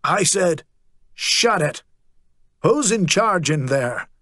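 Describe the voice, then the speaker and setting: A coarse, servile male sergeant with a rough way of talking, bowing and scraping to his betters, as a storybook narrator puts him on. His voice sits a touch high and is recorded close and dry. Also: lively intonation, slow, fairly bright tone, no room sound, heavily acted. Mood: placating. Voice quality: rough, coarse working-class speech. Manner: servile, placating, wheedling. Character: fawning rough-spoken male city watch sergeant.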